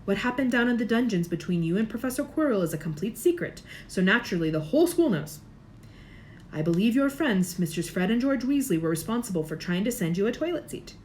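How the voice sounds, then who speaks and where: A woman voicing a kindly old male headmaster in a storybook reading, gentle, measured and formal. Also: mid-range voice, very animated, slight background noise, lightly acted.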